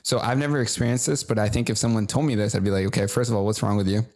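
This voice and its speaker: A man's voice: fairly low pitch, fairly even intonation, racing, a little mellow. Probing man podcast co-host. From Rhode Island, United States. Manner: probing, questioning, talks in bursts. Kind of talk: casual podcast chat.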